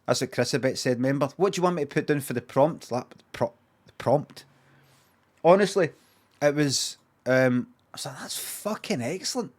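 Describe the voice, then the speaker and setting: A man's voice: medium pitch, expressive, normal speed. A Glaswegian Scottish man chatting casually on a livestream, rambling, swearing freely and repeating himself for emphasis.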